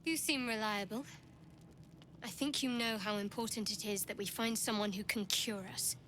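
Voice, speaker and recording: A woman's voice: mid-range voice, fairly even intonation, normal speed, fairly bright tone, a little hiss or hum, lightly acted. An actress playing a guarded, secretive young adventurer woman in a fantasy video game, reserved and dryly wry. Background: game music.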